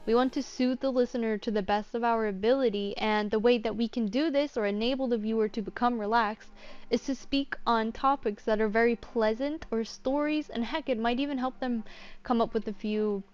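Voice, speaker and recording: A woman's voice: on the high side, steady tone, fairly quick, a little mellow, clear, resonant tone, highs cut off. A woman talking casually and unscripted through a tutorial, explaining things in a rambling way. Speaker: voice actor giving a tutorial.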